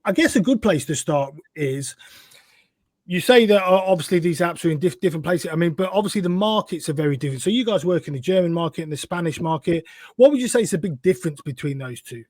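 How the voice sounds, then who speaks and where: Podcast host guy, kinda high voice, keeps repeating himself, dry sound. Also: lively intonation, fast, darkish tone, dry recording. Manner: stumbling, repeats words often. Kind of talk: casual interview chat.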